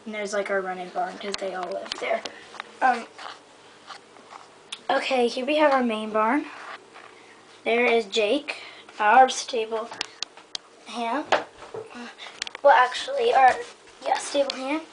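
Slow, halting high-voiced young-sounding woman. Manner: hesitant, halting, trailing off. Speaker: hobbyist giving a toy horse stable tour. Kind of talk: casual rambling show-and-tell. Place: indoors in a room at home. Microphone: handheld camera held close by the speaker. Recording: noisy amateur home camera recording.

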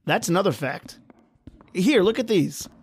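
A man playing a gruff, impatient building engineer in an old-time radio drama, talking curtly and bossily. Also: high-pitched, animated, measured pace, a little mellow, heavily acted. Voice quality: curt, bossy. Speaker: host and actor.

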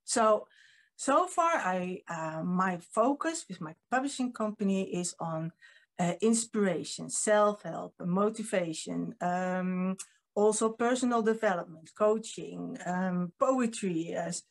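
A woman's voice: fairly low pitch, slow, gravelly. A Dutch woman in her fifties with a Dutch accent, giving an earnest talk as a publisher, with frequent ums, recorded on a webcam at home. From Netherlands. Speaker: guest speaker, publisher. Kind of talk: online presentation. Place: home room with painting. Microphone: video call webcam.

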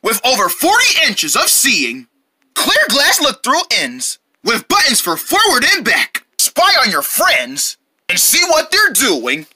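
A performer voicing an exuberant commercial announcer, pitching toys and meals to children in a salesy way. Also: huge pitch swings, slow pace, sharp tone, husky, heavily acted. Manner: salesy, listing product features. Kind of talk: promotional ad read in play-acting.

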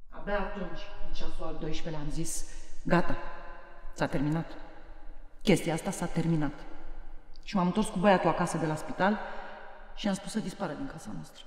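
A woman's voice: low-pitched, pauses often, a little mellow, in a large hall, close to an everyday voice. An actress playing a long-suffering middle-aged mother, plain-spoken, emphatic and resentful, in an audio drama. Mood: fed up.

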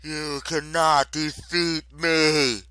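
A man voicing a wrathful ancient earth goddess in an audiobook, imperious and defiant, declaiming in drawn-out, booming phrases. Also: flat delivery, pushed and bright, clear, resonant tone, no echo, full character voice. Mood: defiant rage. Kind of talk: dramatic audiobook character acting.

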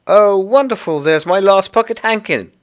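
Man doing a very high, inquisitive little girl. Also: wildly swooping pitch, moderate pace, fairly bright tone, full character voice. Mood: delighted. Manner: wide-eyed, questioning, breathlessly eager. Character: curious, frightened-then-delighted little girl. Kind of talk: storybook character voice.

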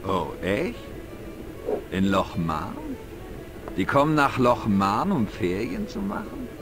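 A man's voice: lowish voice, very animated, takes it slowly, creaky, heavily acted. An actor playing a simple, gullible, talkative village man in an adventure game, with naive, colloquial speech. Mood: naive curiosity. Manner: naive, colloquial, exaggerated swings of emphasis. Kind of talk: dubbed adventure game dialogue. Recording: music behind the voice. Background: game music.

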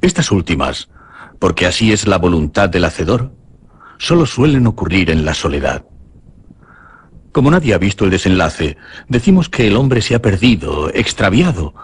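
Very husky, grave sage. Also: male voice, low voice, sing-song, normal speed, full character voice. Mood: grave, solemn. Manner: solemn, declamatory. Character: grave male sage reciting teachings about death. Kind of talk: Spanish radio drama recitation.

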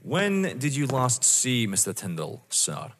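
Hall reverb, male actor as police inspector, polite, persistent, animated intonation, audio drama. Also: mid-range voice, full character voice. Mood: probing. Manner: deferential, probing, measured.

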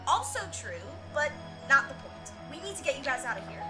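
Woman as plucky, high-voiced teenage girl. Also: very animated, brisk, music in the background. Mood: sheepish, determined. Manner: eager, cheeky. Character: plucky, eager 13-year-old girl pickpocket stowaway. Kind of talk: radio drama acting.

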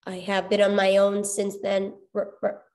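A woman voicing a timid, glitchy female robot spider that speaks haltingly, word by word, with stuttered consonants. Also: mid-range voice, monotone, a little mellow, lightly acted. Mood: earnest, halting.

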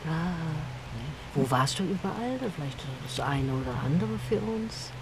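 A woman's voice: low voice, animated, a little slow, noisy background. A woman interviewing a guest on a park bench, asking encouraging questions. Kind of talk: casual interview. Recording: distant outdoor voice with loud music.

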